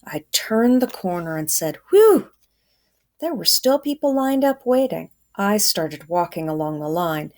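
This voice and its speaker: A woman voicing a hungry, scrappy boy who tells his own story in a children's storybook read-aloud, in a folksy first-person way. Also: on the low side.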